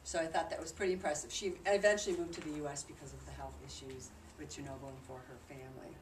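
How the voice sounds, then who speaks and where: Female presenter, low voice, conversational explainer giving an informal group talk; noisy, echoing room recording. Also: steady tone, fast talker. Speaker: presenter reporting on a charity trip. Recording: distant mic in noisy, echoey room.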